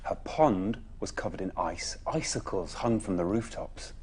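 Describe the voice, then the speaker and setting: A man reading a children's bedtime story expressively, with dramatic pauses and emphatic phrasing. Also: lowish voice, expressive, a little mellow, a little hiss or hum.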